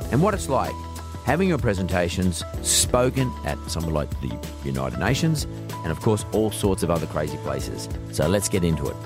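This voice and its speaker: Australian man, low voice, podcast host, casual, blunt, probing, conversational. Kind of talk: conversational interview hosting.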